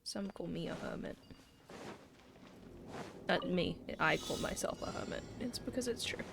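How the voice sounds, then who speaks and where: A woman's voice: on the low side, a little slow, creaky, noisy background. A woman livestreaming a video game with casual, playful, reactive commentary, swearing freely and muttering asides. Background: faint game music.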